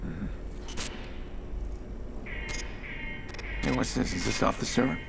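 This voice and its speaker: A man playing a grim, controlled, terse vigilante with a gravelly voice in a video game cutscene. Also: fast talker, music in the background. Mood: guarded, probing. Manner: terse, low-key, menacing.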